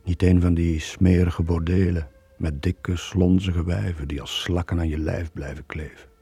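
An actor playing a bitter, lonely middle-aged sailor in a radio drama, brooding and confessional. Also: male voice, low-pitched, flat delivery, moderate pace, warm tone, husky, no echo, full character voice. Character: bitter, lonely long-serving merchant sailor Joon. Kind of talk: 1980 radio drama acting.

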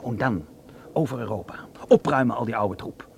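An actor playing a bitter, fervent young German nationalist who lectures in a radio drama. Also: male voice, medium pitch, normal speed, vocal fry, natural voice. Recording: noisy radio drama recording.

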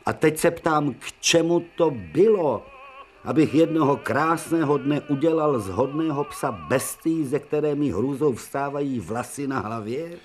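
An actor playing a proud, sardonic aging professor surgeon in a radio drama, talking theatrically with bursts of irony. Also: male voice, fairly high pitch, lively intonation, natural voice. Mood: exasperated. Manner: theatrical, ironic, hums and whispers. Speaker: Professor Preobrazhensky. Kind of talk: 1990 radio drama acting.

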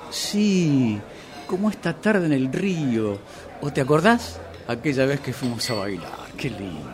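A man's voice: medium pitch, sing-song, unhurried. A man playing a hapless, wavering boyfriend in a radio comedy, speaking sheepishly and hesitantly with a Rioplatense accent. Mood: nostalgic, wavering. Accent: Rioplatense Argentine. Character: hapless, hungry, wavering boyfriend afraid of his girlfriend. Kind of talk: radio drama comedy acting.

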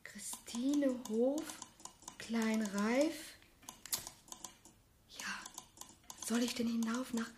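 Very slow-speaking young postal assistant. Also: female voice, on the high side, darkish tone, slight background noise. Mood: puzzled, excited. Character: young female village postal assistant, wondering and flustered. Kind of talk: radio drama acting.

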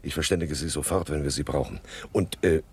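Deep-voiced, commanding crook. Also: male voice, brisk, darkish tone, husky, exaggerated voice. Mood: smoothly official. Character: gang boss posing as police inspector, brisk, cool, commanding. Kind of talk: 1970s German radio crime comedy acting. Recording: noisy 1970s radio drama tape.

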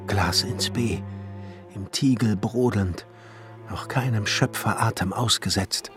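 An actor playing a solemn, visionary glassmaker and alchemist in a radio play, musing in literary German and often dropping into hushed tones. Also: male voice, on the low side, slow pace. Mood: brooding. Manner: musing, declamatory, drops into hushed whispers. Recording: studio radio play with music underneath.

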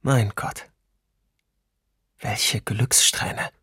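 An actor playing a wry, reflective survivor of occupation, musing aloud in a documentary radio drama. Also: male voice, fairly low pitch, steady tone, drawn-out words, pushed and bright, husky, no echo, clean studio sound, heavily acted. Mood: wry wonder.

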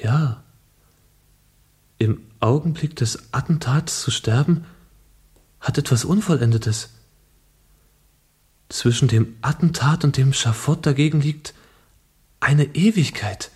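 Passionate young assassin-idealist, radio play. Breathy, rough-edged voice, declamatory and earnest. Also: male voice, on the high side, takes it slowly, long pauses, a little mellow, heavily acted. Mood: brooding, solemn. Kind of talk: German literary radio play acting.

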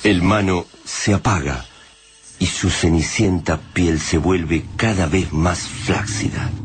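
An Argentine actor playing the grave narrator of a science-fiction radio drama, solemn and measured. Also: male voice, deep voice. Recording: loud music under the voice.

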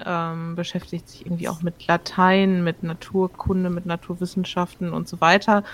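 A woman taking part in a tabletop game recording, talking casually about the character she plays, with many fillers. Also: fairly low pitch. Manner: relaxed, chatty, many fillers. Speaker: player introducing her character. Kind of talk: casual chat.

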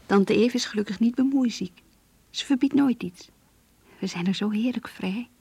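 A naive, lovestruck schoolgirl confides in a radio play. Her voice is fairly high, breathy and soft-edged. She speaks earnestly, close and dry. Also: normal speed, dry recording, heavily acted. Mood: wistful, confiding. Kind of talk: radio drama, diary-like monologue and dialogue.

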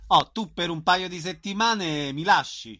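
A man's voice: high voice, a little slow, pushed and bright. Male radio host, conversational. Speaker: radio host interviewing guests.

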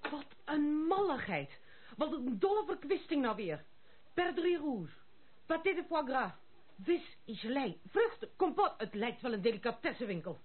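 An actress playing an imperious, sharp-tongued young noblewoman in a radio drama, scolding and dropping in French phrases. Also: sits high, big pitch swings, moderate pace. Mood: scornful, indignant. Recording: tinny, phone-like old radio recording.

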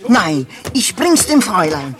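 An actress playing an anxious but outspoken loyal maidservant in 1950s German radio drama, with dramatic, urgent delivery. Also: female voice, fairly low pitch, sing-song, measured pace, background noise. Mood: curious, wary. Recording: 1950s radio studio recording.

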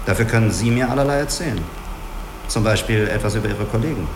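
An actor in a radio drama playing a skeptical, probing spaceship commander in a terse, controlled manner. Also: male voice, lowish voice, fast talker, background noise, close to an everyday voice. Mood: cool, questioning. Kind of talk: German radio play dialogue and log.

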